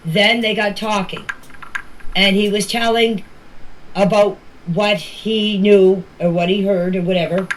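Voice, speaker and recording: A woman's voice: mid-range voice, steady tone, measured pace, a little hiss or hum, poor audio. A woman talking casually and plainly, as if leaving voice messages for a group chat, in an amateur recording. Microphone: voice clips recorded for a group chat.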